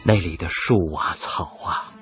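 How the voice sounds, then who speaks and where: An actor playing a tender, sorrowful father telling his child of the past in a gentle, emotive radio drama style. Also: low voice, measured pace. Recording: muffled lo-fi broadcast with music behind.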